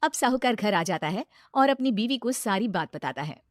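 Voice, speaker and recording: A woman's voice: medium pitch, expressive, moderate pace, no room sound, clean studio sound. A woman narrating a scripted moral story.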